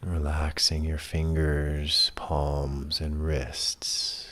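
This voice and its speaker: A man leading a guided relaxation as a yoga teacher, speaking in a calm, measured, soothing way with long pauses between phrases. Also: extremely low pitch.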